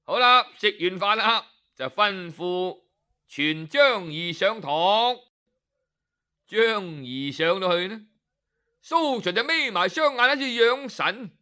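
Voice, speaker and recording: A man narrating a folk tale in a lively, dramatic storytelling style. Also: sits high, slow pace.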